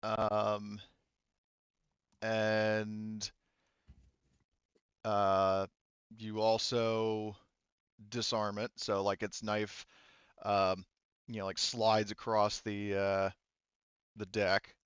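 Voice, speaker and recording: A man acting as game master in a casual tabletop session, haltingly thinking aloud as he checks rules and sets up the action, with some casual swearing. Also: on the low side, little pitch movement, fairly bright tone, clear, resonant tone, no echo, dull audio.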